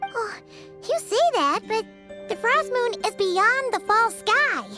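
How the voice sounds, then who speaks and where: A woman voicing a tiny, childlike, excitable fairy companion in a video game, with a squeaky, cheeky delivery. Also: takes it slowly, heavily acted. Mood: doubtful. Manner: squeaky, refers to herself in third person. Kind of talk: video game cartoonish voice acting. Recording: prominent music behind.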